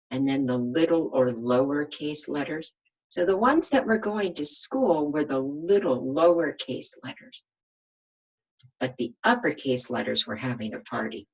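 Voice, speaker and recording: A woman with a low, slightly husky voice reads a storybook aloud as a kindergarten teacher. She goes slowly, adding simple explanations and questions for little listeners. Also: lo-fi sound. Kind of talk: storybook read-aloud with explanations for young children.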